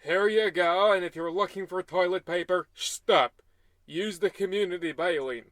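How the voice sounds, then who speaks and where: A genial Finnish gas station attendant, played by a man in an old-style radio comedy. The voice is high and closely recorded, rambling along in a folksy, chatty way. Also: moderate pace, a bit bright, no echo. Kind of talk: scripted old-time radio comedy acting.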